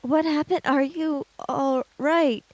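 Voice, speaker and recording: A woman's voice: high voice, steady tone, slow pace, darkish tone, no room sound. A woman playing a tabletop role-playing game, improvising in a hesitant, tentative manner. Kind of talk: improvised in-game talk and narration.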